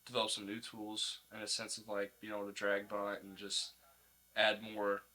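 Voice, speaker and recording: A man's voice: on the low side, completely flat delivery, normal speed, sharp tone, poor audio. A young man chatting casually as a college athlete being interviewed, in informal, laid-back phrasing. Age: young adult. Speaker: college baseball player, podcast guest.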